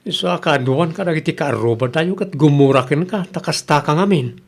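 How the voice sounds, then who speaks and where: A man's voice: on the high side, fairly quick, gravelly. A blunt village man, acted by a man in an Ilocano comedy radio drama. He chides and advises with an Ilocano Filipino accent. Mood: chiding.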